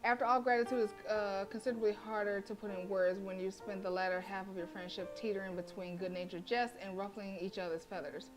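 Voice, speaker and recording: A woman's voice: mid-range voice, fairly quick, hardly any pauses, over music, poor audio. A woman streaming a visual novel, reading the story aloud and reacting with brash, profane, slangy commentary. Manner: brash, animated and profane, with slangy trash talk.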